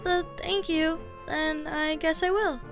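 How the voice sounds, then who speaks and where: A woman's voice: very high voice, steady tone, takes it slowly, over music, full character voice. A woman voicing a polite, frank, shy middle-school girl in a manga dub, speaking earnestly and hesitantly. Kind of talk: anime-style manga dub dialogue.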